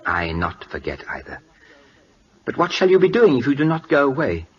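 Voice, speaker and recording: A man's voice: mid-range voice, huge pitch swings, slightly rough. Pompous Siamese king, swooping voice. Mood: imperious, coaxing. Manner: halting, pompous, broken English. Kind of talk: old-time radio drama acting. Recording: muffled vintage radio broadcast.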